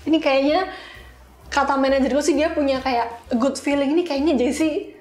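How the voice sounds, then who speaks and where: A woman's voice: sits high, steady tone, normal speed, a little mellow. A young woman with an Indonesian accent telling stories casually as an interview guest, informal, with English terms mixed in. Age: young adult. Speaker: interview guest, software engineer. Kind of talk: casual conversational storytelling. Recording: echoey room.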